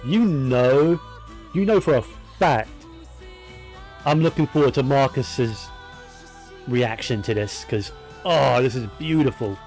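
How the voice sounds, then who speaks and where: A man commentating casually on a video game in short, informal reactions. His pace is slow and his pitch ordinary, over faint game music. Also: darkish tone. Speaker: gaming streamer.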